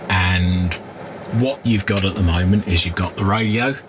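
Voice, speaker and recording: A man giving an unscripted, halting workshop commentary, thinking aloud as he works at a repair bench. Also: low-pitched. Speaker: hobbyist radio repairer and video host. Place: indoor repair workbench. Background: loud noise, radio and test-tone sounds.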